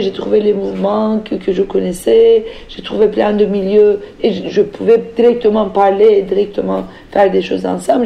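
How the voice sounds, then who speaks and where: A middle-aged woman from Turkey with a Turkish accent, telling her own story off the cuff as a novelist, reflective and halting as she searches for words and repeats phrases for emphasis. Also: medium pitch, creaky, muffled recording. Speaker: novelist speaking as a guest. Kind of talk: reflective personal account, spoken off the cuff.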